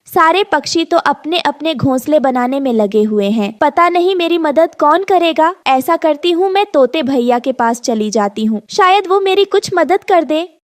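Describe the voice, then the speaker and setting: A woman's voice: high voice, lively intonation, moderate pace, dry recording, studio quality. A woman playing a worried, weary expectant mother bird in a cartoon story, polite, pleading and fretful. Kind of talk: animated moral story dub.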